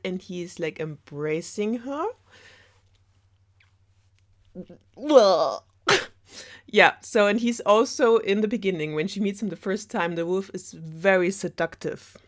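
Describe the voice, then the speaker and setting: Female storyteller, slightly low voice. Chatty, sarcastic, loose and rambling delivery, prone to giggles. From Germany. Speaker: storyteller host of a web series. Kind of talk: casual sarcastic fairy tale retelling.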